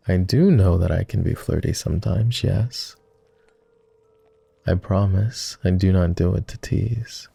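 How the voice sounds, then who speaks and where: A man playing a flirty, courtly vampire aristocrat in an intimate roleplay ASMR, with refined, formal, teasing speech in a low, gravelly murmur. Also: mellow, no echo, exaggerated voice. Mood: tender, flirty. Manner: refined, formal diction with playful teasing and sighs.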